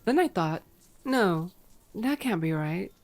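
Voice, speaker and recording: A woman's voice: fairly low pitch, lively intonation, slow pace, a little mellow. A woman playing a sarcastic, teasing female vampire in an ASMR roleplay audio drama, playful and exasperated. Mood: incredulous, teasing.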